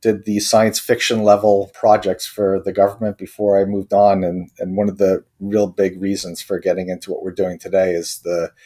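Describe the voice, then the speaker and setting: Very deep-voiced man from Croatia. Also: darkish tone. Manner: thoughtful, many fillers and restarts, earnest. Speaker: company co-founder and technology chief. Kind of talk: interview answers.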